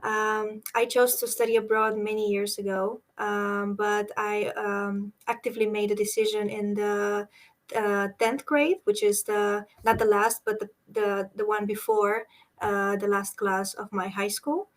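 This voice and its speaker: A young woman from Romania joins a webinar as a student guest. She talks casually and hesitantly, often pausing to search for her words. Her pitch sits in the middle of her range. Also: flat delivery. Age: young adult.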